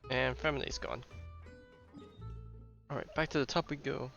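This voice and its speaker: Bright-voiced man streaming a game, muffled recording. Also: mid-range voice, level tone, moderate pace, creaky. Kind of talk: casual live-stream commentary on a game. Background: game music.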